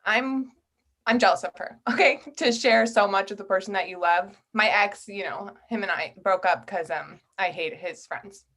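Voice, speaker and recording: A woman's voice: mid-range voice, normal speed, a bit bright. A woman playing a candid maid of honor, the bride's old friend, in a comedy table read.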